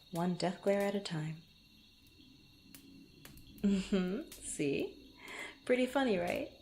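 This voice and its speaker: Casting: actress as young female rogue adventurer, unhurried, slightly creaky voice, teasing yet easily flustered, intimate fantasy audio roleplay. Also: fairly low pitch, a little mellow, slight background noise. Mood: amused teasing. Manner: hesitant, stammering, playful banter. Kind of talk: intimate ASMR roleplay, one-sided conversation.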